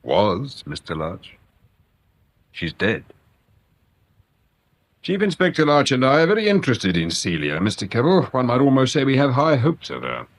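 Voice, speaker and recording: An actor playing a courteous, shrewd, dry-witted police detective in a radio drama, speaking formally with measured understatement. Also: male voice, medium pitch, huge pitch swings. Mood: intent, measured.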